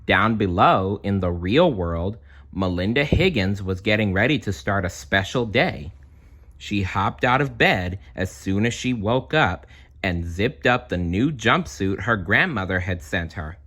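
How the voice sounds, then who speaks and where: A man's voice: fairly low pitch, expressive, measured pace, fairly bright tone. A man reading a children's story aloud expressively, with casual asides and simple explanations. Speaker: storybook reader.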